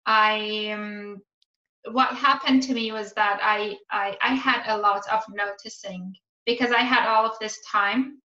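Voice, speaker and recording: Thirtysomething woman from iran, slow and bright, lots of ums, kinda roomy sound. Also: medium pitch, fairly even intonation, muffled recording. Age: 30s. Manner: hesitant, many ums, warm laughs. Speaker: guest. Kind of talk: casual chat.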